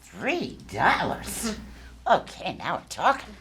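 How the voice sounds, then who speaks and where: Woman as a very slow, doddering female employee. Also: medium pitch, wildly swooping pitch, a bit bright, heavily acted. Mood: dry, pleased. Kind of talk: live comedy table read. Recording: echoey, very noisy live stage.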